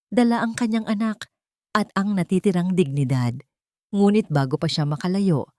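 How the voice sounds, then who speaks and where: A woman's voice: on the low side, darkish tone, dry recording, clean studio sound. A woman reading a scripted, dramatic love story as its narrator.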